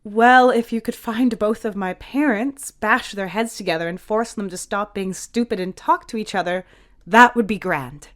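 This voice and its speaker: A woman's voice: medium pitch, normal speed, fairly bright tone, pitched above the performer's own voice, lightly acted. A woman voicing a frazzled, defensive and sarcastic young woman in an audio story. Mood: weary, sarcastic. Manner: flustered, blurting.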